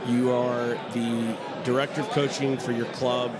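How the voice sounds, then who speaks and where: Host talking in a crowded, musical bar. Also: male voice, medium pitch, level tone, a little slow. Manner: friendly, stammering restarts. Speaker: podcast host. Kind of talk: casual interview. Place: busy bar at a conference. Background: loud crowd chatter and music.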